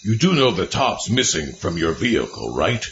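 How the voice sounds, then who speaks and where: A man's voice: deep voice, big pitch swings, heavy background noise. A man playing a grandiose, lonely Martian warlord who claims to be a woman, blunt and irritable, in a comic sci-fi audio drama. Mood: menacing, nosy. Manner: grandiose, slow announcements breaking into irritable bluntness. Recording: muffled lo-fi with loud music behind.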